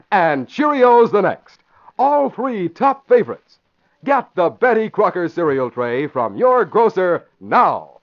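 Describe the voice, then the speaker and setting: Lively American old-time radio announcer man. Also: high-pitched, dry recording. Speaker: announcer and narrator. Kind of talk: 1940s radio announcing, scripted narration and ad. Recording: muffled vintage radio recording.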